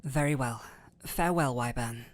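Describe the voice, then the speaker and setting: A woman playing a gentle, caring physician in a fantasy audio drama, patient and soothing, with a softly teasing touch. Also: very deep voice, moderate pace. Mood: polite, reserved. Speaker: audio roleplay voice actor. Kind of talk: intimate scripted audio roleplay.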